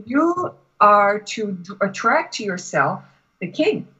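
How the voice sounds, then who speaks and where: A woman gives a spiritual reading at a slow, measured pace, pausing often between phrases. Her voice is husky, and the recording is somewhat muffled. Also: mid-range voice, big pitch swings, bright, forceful tone. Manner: halting, deliberate phrasing with long pauses. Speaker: Akashic Records reader, guest. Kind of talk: spiritual reading given to a client.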